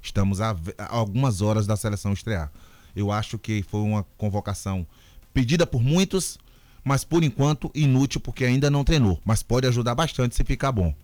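Chatty, joking radio sports commentator. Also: male voice, medium pitch, brisk, a little mellow, no room sound. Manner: chatty, joking, then reasoned.

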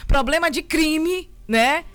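A woman with a Bahian accent hosts a morning radio show in a studio, her voice high and bright. She talks in a lively, teasing way and sends shout-outs to listeners. Faint music plays behind her. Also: takes it slowly. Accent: Bahian Brazilian Portuguese. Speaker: radio host.

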